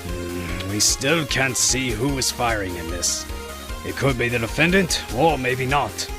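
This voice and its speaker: A man playing a pompous old courtroom judge with a gravelly, formal delivery in an audio drama. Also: mid-range voice, animated, measured pace, over music, close to an everyday voice. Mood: bemused, ruling. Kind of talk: fan audio drama voice acting.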